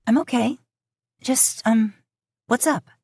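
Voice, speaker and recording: A woman's voice: on the high side, takes it slowly, darkish tone, breathy edge, no room sound, pitched above the performer's own voice, exaggerated voice. Lady acting flustered young woman, careful n guarded, bit hesitant, audiobook dialogue, dry sound. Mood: awkward, flustered. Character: cautious, flustered young working woman on a phone call.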